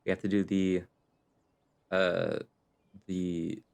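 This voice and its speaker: Very deep-voiced man, casual game streamer. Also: flat delivery, slow pace, darkish tone, clean tone. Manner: short, offhand, playful interjections. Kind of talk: casual live playthrough commentary.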